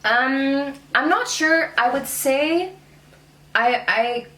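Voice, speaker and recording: A woman's voice: high voice, lively intonation, very slow, sharp tone. A young woman chatting casually as a guest, reflecting informally on her own experience. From born in Dubai, moved around often. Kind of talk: casual conversational discussion. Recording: echoey room with some background noise.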